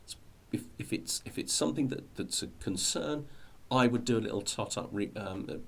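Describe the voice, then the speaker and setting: A man's voice: mid-range voice, lively intonation, normal speed, vocal fry. A middle-aged British man talking informally to viewers as he explains things, in a hesitant, rambling way with repeated words. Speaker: hobby video host.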